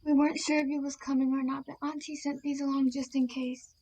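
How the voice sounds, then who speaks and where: Woman as a high, mellow country child. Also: level tone, brisk. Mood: friendly. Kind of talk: radio drama acting.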